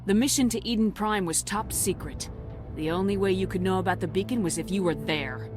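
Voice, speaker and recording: A woman's voice: mid-range voice, brisk, a little mellow, soft background music, close to an everyday voice. A woman playing a resolute military commander in a video game, firm and direct. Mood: firm resolve.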